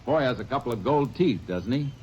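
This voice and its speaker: An actor playing a terse, level-headed Texas Ranger, who also narrates, in a vintage radio drama. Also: male voice, mid-range voice, animated, brisk, background noise, close to an everyday voice. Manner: terse, clipped, no-nonsense. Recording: dull old radio recording with music.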